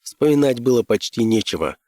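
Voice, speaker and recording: A man's voice: medium pitch, lively intonation, a little fast, warm tone, no room sound, clean studio sound. A man reading scripted first-person fiction as an audiobook narrator.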